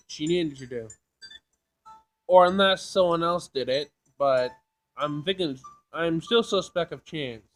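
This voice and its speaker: Slightly high-voiced man, casual gaming commentator. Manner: jokey, thinks out loud, repeats himself. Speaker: gaming video host. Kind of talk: casual playthrough commentary, reading game text aloud.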